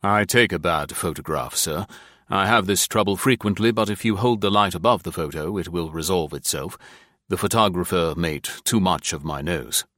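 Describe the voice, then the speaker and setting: A narrator plays a deferential French businessman in a wartime audiobook. The man's voice is very low and dry, and he explains himself smoothly without getting ruffled. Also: normal speed, a bit bright, no room sound. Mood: calm, deferential. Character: suave, unruffled French contractor being questioned, deferential.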